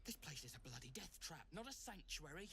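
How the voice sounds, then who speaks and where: Blunt, low, hard-edged woman. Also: sing-song, brisk, pushed and bright, slight background noise, full character voice. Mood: grim warning. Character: blunt, tough woman warning others off. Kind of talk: video game voice acting.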